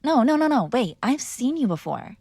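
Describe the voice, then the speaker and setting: A woman's voice: sits high, very animated, normal speed, darkish tone, slightly rough, no room sound. A young woman performing an audio roleplay as a defiant, sarcastic mob boss's daughter arguing with her father. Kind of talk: scripted ASMR girlfriend roleplay.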